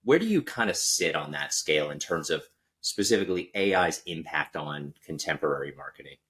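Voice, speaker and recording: Deep-voiced male podcast host. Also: fairly quick. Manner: relaxed, thinks aloud while posing long questions. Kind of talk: casual interview chat.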